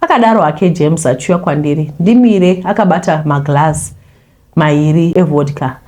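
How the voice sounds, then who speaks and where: A woman from Zimbabwe, a host who chats animatedly with viewers and then reads a confession aloud. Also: moderate pace. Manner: animated, talks straight to viewers, voice leaps high when scolding. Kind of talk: chatty intro, then reading a confession aloud.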